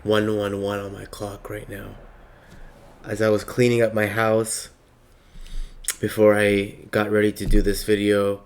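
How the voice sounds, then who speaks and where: A man with a slightly raspy voice giving a slow, reflective spiritual monologue with many pauses. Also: fairly low pitch. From Palestinian heritage. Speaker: spiritual reader.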